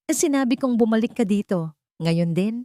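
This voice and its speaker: A female storyteller acts out a stern father in a family drama. The delivery is controlled and commanding, with lively movement in pitch. The recording is dry. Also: moderate pace, no room sound, lightly acted. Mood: cold anger. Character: stern widowed businessman father, controlled and cold.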